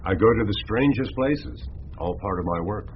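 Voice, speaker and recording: An actor playing a self-assured New York advertising big shot in a radio drama, urbane and politely evasive. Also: male voice, on the low side, lively intonation, normal speed, natural voice. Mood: coolly evasive. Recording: dull, slightly noisy radio recording.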